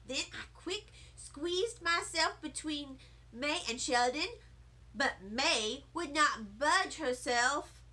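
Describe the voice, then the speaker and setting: A woman narrates a children's book with great expression. Her voice is high and very lively, and she reads at a deliberate, slow pace. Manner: animated, deliberate. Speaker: storybook reader.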